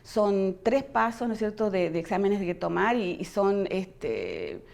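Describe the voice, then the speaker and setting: A woman's voice: medium pitch, animated, a little slow, gravelly, darkish tone. A middle-aged woman from Argentina, a physician guest with an Argentine accent, talking in a casual interview and rambling with many fillers and restarts. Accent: Argentine, non-Buenos Aires. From Argentina, near Chile.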